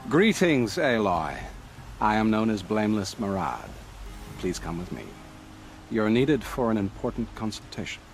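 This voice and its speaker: An actor playing a shrewd, courteous royal court advisor in a game, formal and polite with a wry edge. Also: male voice, fairly low pitch, very animated, a little slow, soft background music. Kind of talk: dramatic game cutscene acting.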